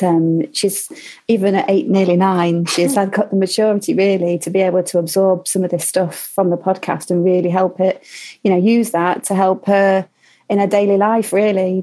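A woman in her forties, a mother guesting on a podcast, talking hesitantly with many pauses. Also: medium pitch, dark tone. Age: 40s. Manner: hesitant, many fillers and restarts. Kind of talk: casual interview chat.

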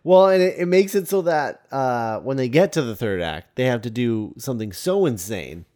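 A man hosting a podcast, talking casually about film in a halting, hesitant way. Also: medium pitch, animated, warm tone. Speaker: podcast host.

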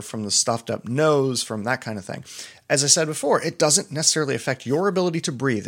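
A man's voice: medium pitch, a bit bright. A middle-aged man, a doctor, hosting a casual solo podcast, chatty and prone to digressions. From Pennsylvania. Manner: chatty, digressive, self-deprecating.

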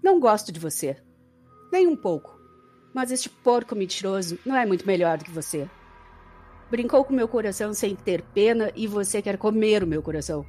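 A woman's voice: mid-range voice, lively intonation, moderate pace, dark tone, faint music behind, close to an everyday voice. A woman playing a bitter, defiant young captive in an audio drama, with a scornful, dramatic delivery. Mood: scornful resolve.

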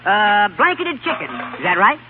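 A man's voice: high-pitched, big pitch swings, normal speed, over music. An actor playing a cheeky, nosy laundry delivery man in a 1930s radio comedy-drama. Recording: tinny, scratchy 1930s radio broadcast, hum.

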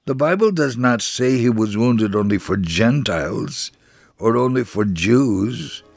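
A man giving a solemn, measured dramatic reading of a first-person devotional story. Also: medium pitch, expressive, creaky, a little mellow.

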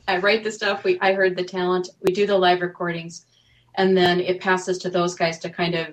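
Female panel guest, medium pitch, off-mic and distant, casual conversational delivery. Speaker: audio drama writer and producer, panel guest. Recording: distant from the microphone.

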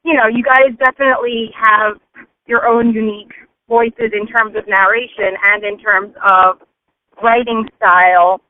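A woman's voice: a little high, steady tone, measured pace, no room sound. A woman leaving casual, rambling listener feedback as a voicemail over the phone. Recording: tinny, band-limited phone voicemail.

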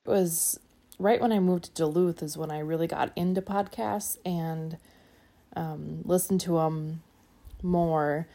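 A woman speaking calmly and evenly into her phone, reflective and trailing off at times. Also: deep voice, a little slow, vocal fry. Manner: calm, even-keeled, trails off. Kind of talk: reflective personal monologue.

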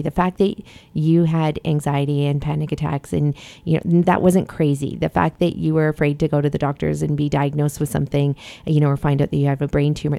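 A woman's voice: low voice, dark tone, no room sound. A woman hosting a podcast as a health coach, talking earnestly and passionately in casual conversation, with many fillers.

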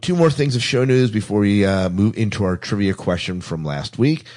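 A man's voice: lowish voice, lively intonation, moderate pace, a little mellow. A man hosting a podcast, chatting casually as he runs through show announcements. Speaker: podcast host.

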